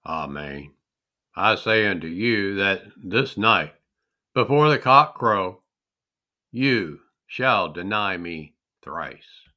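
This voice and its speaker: Grave, tender male teacher of scripture, acted by a man reading aloud, drawn-out phrases, forceful and clear-edged tone. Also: sits low, lively intonation, voice pushed down, exaggerated voice. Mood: grave foretelling. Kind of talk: dramatic scripture storytelling.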